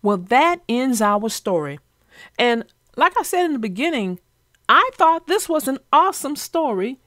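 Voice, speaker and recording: A woman's voice: high voice, sing-song, a little slow, a bit bright, no echo, clean studio sound. A woman reading aloud and chatting expressively as a children's book narrator and host. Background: music.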